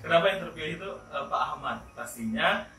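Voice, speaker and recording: Male interview host, casual and chatty, forceful bright tone, roomy sound with faint music behind. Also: on the high side, animated, fast talker, creaky. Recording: roomy echo, distant mic, faint music.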